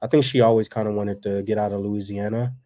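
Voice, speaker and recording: Man in his thirties, low-pitched, relaxed home-stream co-host. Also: quick pace. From Texas. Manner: relaxed and rambling, with many fillers. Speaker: livestream co-host. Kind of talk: casual chat reading viewer comments.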